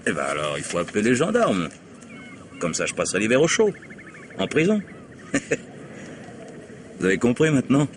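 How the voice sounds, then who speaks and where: A sardonic vagabond in a vintage radio play, who mocks everyone he meets with a wry, knowing laugh. His voice sits very low and deep. A faint hiss runs under the old recording. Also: huge pitch swings, moderate pace, long pauses. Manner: mocking, wry, laughs at others. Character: sardonic cynical male vagabond tramp. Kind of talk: vintage radio drama acting.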